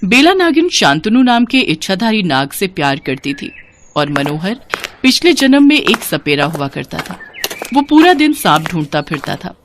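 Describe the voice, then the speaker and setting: A woman's voice: medium pitch, very animated, normal speed, noisy background. A woman storyteller reading scripted fairy-tale narration for a cartoon in a dramatic storytelling manner.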